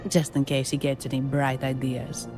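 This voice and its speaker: A woman's voice: very deep voice, completely flat delivery, moderate pace, over music. An actress playing a level-headed, protective woman in a video game, decisive and matter-of-fact.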